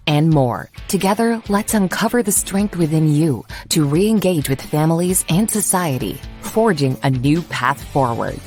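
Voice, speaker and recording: A woman with a notably deep, low voice reads a scripted podcast opening in a smooth, measured way. Soft guitar music plays faintly behind her. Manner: measured, polished delivery. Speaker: show announcer. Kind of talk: scripted show intro.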